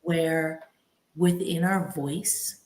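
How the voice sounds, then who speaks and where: A woman hosting a live question and answer session, asking her guest questions and thinking aloud in halting phrases. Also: deep voice, fairly even intonation, a little mellow. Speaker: host. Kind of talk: live Q&A interviewing.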